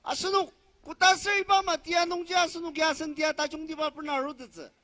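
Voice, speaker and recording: A man with a Northeast Indian accent interpreting at a political rally, declaiming loudly in short bursts. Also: very high-pitched, little pitch movement, quick pace. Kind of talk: consecutive interpreting, loud public address.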